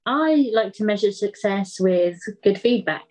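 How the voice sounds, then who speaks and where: A woman's voice: mid-range voice, animated, unhurried, a little mellow. A woman answering casually as an interview guest who co-writes an audio drama.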